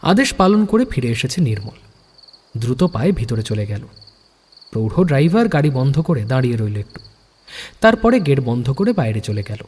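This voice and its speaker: A man narrates a story dramatically in a husky, fairly high voice with lively swings of pitch. A long reverb hangs around his words.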